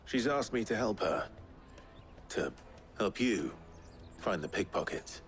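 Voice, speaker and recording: An actor playing a terse, earnest male adventurer in a fantasy video game, speaking in a measured way. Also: big pitch swings, soft background music. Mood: earnest, sombre. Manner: terse, low-key, measured. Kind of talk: dramatic video game acting.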